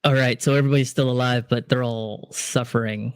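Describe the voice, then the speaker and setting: A man hosts a casual podcast conversation at an ordinary pitch. He rambles, stacking fillers and restarting his sentences. The recording is dry and close. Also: fairly even intonation, fairly quick, smooth, dry recording. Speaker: podcast host.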